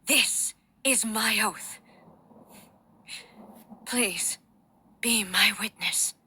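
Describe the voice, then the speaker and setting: A woman playing a solemn, resolute female deity-warrior ruler in a game, speaking formally in measured, stately phrases. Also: mid-range voice, sharp tone, breathy edge, exaggerated voice. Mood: solemn oath. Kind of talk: scripted dramatic game dialogue.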